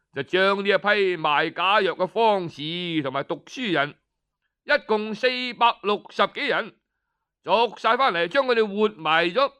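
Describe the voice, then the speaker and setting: A male radio storyteller with a high, crisp, resonant voice. He takes his time, explaining history deliberately and setting off phrases with pauses. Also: pushed and bright. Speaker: radio storyteller, narrator and adapter. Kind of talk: traditional Cantonese storytelling narration of history.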